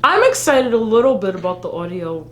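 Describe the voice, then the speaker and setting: A woman's voice: mid-range voice, very animated, a little fast, fairly bright tone. A woman co-hosting a casual live fan vlog, blunt and sweary as she rants. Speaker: vlog co-host. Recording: noisy live-stream audio with hum.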